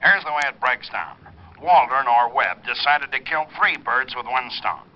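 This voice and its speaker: An actor playing a brisk police lieutenant in a 1950s radio drama. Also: male voice, mid-range voice, lively intonation, natural voice. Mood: businesslike. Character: gruff male police lieutenant. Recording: dull old radio broadcast.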